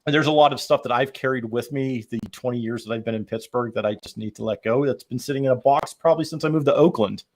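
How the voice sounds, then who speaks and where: A man's voice: mid-range voice, quick pace, hardly any pauses. Middle-aged man, casual and conversational. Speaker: podcast panelist. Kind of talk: casual chat.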